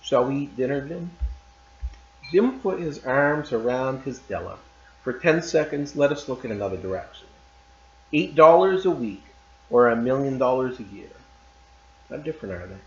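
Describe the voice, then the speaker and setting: Male teacher, story read-aloud, calm and measured, echoey room, slightly dull sound. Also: medium pitch, a little hiss or hum. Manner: calm and measured, loose and chatty after reading. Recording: roomy, slightly dull recording.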